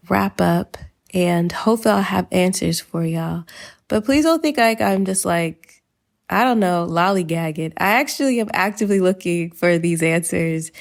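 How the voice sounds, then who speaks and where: A woman's voice: on the low side, creaky, darkish tone. A woman hosting a podcast, talking informally and directly to her listeners through announcements and introductions. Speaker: podcast host.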